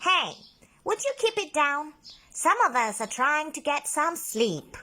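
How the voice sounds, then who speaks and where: Woman playing a high-pitched plucky little storybook octopus. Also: sing-song, a little slow, bright, forceful tone, heavily acted. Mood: grumpy. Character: small male octopus, plucky, chirpy, enthusiastic.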